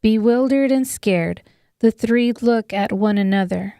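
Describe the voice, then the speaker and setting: A woman's voice: fairly high pitch, unhurried, dark tone, smooth, dry recording, clean studio sound. A woman reading scripted, dramatic audiobook narration of a story.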